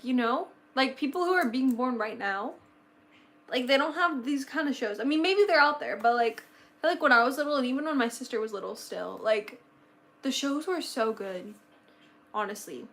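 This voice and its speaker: Gushy teen girl rambling on a livestream, kinda high voice, bit worn out from talking. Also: fairly bright tone. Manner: bubbly, gushing, rambling with many fillers. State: slightly losing her voice. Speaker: livestream host. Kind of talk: casual livestream chat.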